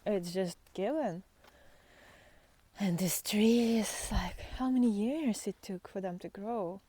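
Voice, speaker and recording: A woman speaking off the cuff and reflectively on a walk outdoors, musing and halting, often trailing into breathy near-whispers. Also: medium pitch, dark tone, no room sound. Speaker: spiritual vlogger and guide. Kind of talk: unscripted reflective talk while walking in nature.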